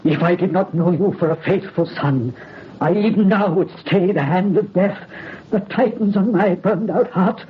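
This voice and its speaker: An actor playing a dying old French count, vengeful and commanding, in formal theatrical old-time radio horror style. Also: male voice, sits high, normal speed, breathy edge. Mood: grave, solemn. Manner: theatrical, archaic formal diction. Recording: muffled, noisy old radio recording.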